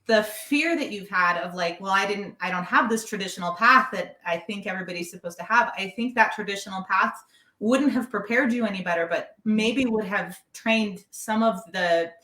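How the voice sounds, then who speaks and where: A woman vocal coach talking warmly and encouragingly, with a lot of "like" fillers. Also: medium pitch, moderate pace. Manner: effusive, encouraging, lots of "like". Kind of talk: casual interview. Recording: echoey room.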